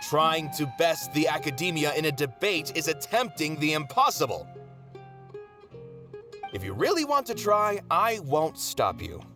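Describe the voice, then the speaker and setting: A man's voice: a little high, big pitch swings, unhurried, over music. A man voicing a resigned, bitter theater troupe member who talks drily in a game cutscene. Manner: dry, resigned, sarcastic.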